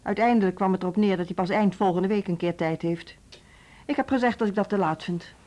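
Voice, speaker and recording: A woman's voice: mid-range voice, fairly quick, darkish tone, close to an everyday voice. An actress playing a strict female school director in a radio drama, who speaks in a cool, curt, businesslike way.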